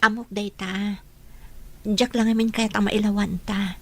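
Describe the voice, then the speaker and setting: A woman's voice: medium pitch, flat delivery, unhurried, a little hiss or hum, lightly acted. A woman acting a young woman in love in a radio drama, tender and pleading. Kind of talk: 1970s-style broadcast radio acting.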